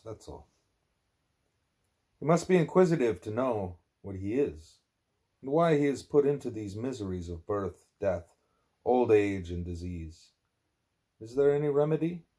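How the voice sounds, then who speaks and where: Male audiobook reader, lower-pitched, deliberate and emphatic delivery of a scripted spiritual text. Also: mellow. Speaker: audiobook narrator, reading a spiritual text aloud. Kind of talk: scripted narration, broken by asides to a small child.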